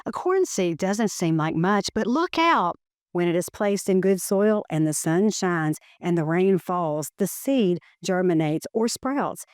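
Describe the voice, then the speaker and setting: A woman reads a children's educational picture book aloud in measured, deliberate phrasing. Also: lowish voice, animated, darkish tone, dry recording, studio quality.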